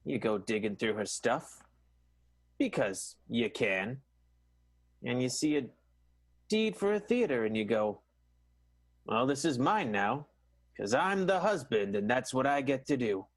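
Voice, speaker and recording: A man's voice: mid-range voice, animated, measured pace, long pauses, dry recording. A man playing a hapless, self-entitled theater manager, telling his story conversationally in an improvised radio soap. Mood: glib, rueful.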